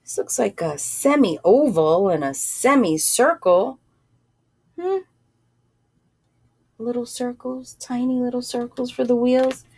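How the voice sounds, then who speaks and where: A woman reading a picture book aloud in an expressive, animated way, with casual asides and drawn-out phrasing. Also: fairly high pitch, husky. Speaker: storybook reader.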